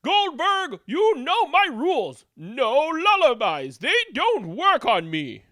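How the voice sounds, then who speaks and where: Storybook rich man, voiced by a woman: spoiled, demanding, high-pitched and loudly imperious. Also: very animated, takes it slowly, dry recording, studio quality, heavily acted. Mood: demanding, cross. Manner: imperious shouting.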